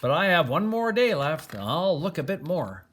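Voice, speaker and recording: A man's voice: fairly high pitch, big pitch swings, normal speed, fairly bright tone. An older man voicing a clever, bookish storybook prince who muses emphatically to himself. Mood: intrigued.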